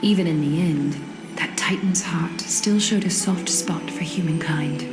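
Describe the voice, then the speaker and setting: A grave actress voicing a woman leader from a fantasy game, with a low voice that carries a clear echo. She speaks formally and with measured composure, as if delivering omens, while music plays behind her. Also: close to an everyday voice. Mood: solemn. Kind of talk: video game voice acting.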